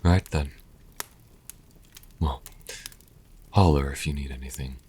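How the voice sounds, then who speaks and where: Man playing a very deep-voiced sassy vampire. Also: takes it slowly, warm tone, a little hiss or hum. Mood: dryly obliging. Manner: wry, leisurely, articulate. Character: sassy, scholarly male vampire, dryly hospitable. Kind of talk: roleplay audio acting.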